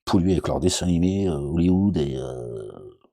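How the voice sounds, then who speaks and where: A man's voice: a bass voice, expressive, moderate pace, creaky, dark tone. A middle-aged man giving a rambling, informal religious commentary, often checking in with his listeners and adding joking asides. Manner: digressive, asks "d'accord?" often, jokey asides.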